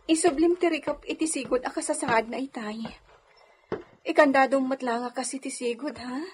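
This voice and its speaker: A woman acts the oppressed young wife of a radio drama. Her high voice is secretive and submissive, with an urgent, pleading edge, and there is faint noise behind it. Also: moderate pace, a little mellow, natural voice.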